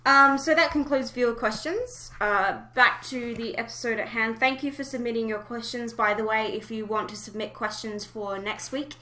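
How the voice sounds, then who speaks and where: A woman's voice: on the high side, moderate pace, hardly any pauses, pushed and bright. A woman hosting a casual fan podcast, chatting informally and giving blunt opinions. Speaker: podcast host.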